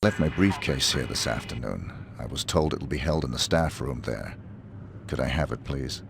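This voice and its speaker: An actor playing a cold, laconic hitman in a video game, with a gravelly voice and a clipped, deadpan, formal delivery. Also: normal speed, background noise, exaggerated voice. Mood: cold, unmoved. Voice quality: very deep, gravelly. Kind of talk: video game cutscene acting.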